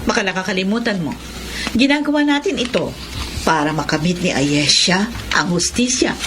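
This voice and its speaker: A woman's voice: mid-range voice, animated, moderate pace, background noise, natural voice. A woman playing a vengeful, scornful aunt in a Filipino radio romance drama, theatrical and drawling, mixing in English phrases. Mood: scheming, smug. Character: scornful, vengeful aunt plotting against a young man.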